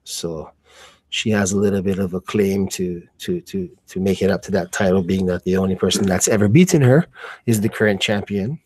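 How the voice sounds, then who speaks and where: A man giving casual, slangy live fight commentary, thinking aloud in a halting way. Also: deep voice, a little mellow, breathy edge, no room sound. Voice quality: slangy, informal speech.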